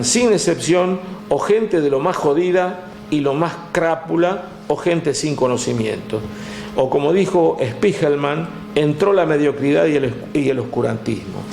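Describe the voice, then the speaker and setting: A man with an Argentine accent, telling history in a relaxed chat, with many "este" fillers, reading out figures from a text. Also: fairly high pitch, creaky, reverberant, a little hiss or hum. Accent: Argentine Spanish. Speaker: guest storyteller. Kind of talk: conversational history talk.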